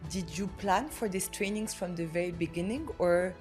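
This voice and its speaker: A woman's voice: on the low side, normal speed, over music. A woman hosting an interview, asking questions and encouraging her guest with short acknowledgments. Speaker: podcast host.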